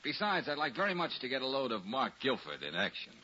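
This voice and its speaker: An actor playing a wisecracking, easygoing tough private investigator in a 1940s radio drama, with breezy, slangy, sardonic delivery. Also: male voice, medium pitch, big pitch swings, fast talker, natural voice. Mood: wry, breezy. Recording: noisy lo-fi 1940s radio recording.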